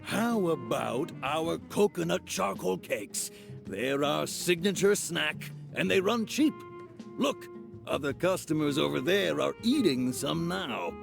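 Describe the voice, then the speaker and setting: A man voicing an eager, cheerful restaurant waiter who pitches the menu in an upbeat, obliging way. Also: animated, slow pace, gravelly, music in the background, heavily acted. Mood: salesy. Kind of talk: video game cutscene voice acting.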